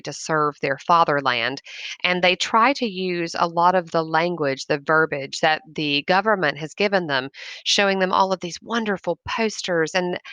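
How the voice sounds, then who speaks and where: A woman's voice: fairly low pitch, sharp tone, dry recording. A woman reading a short story aloud expressively and commenting on it like a teacher.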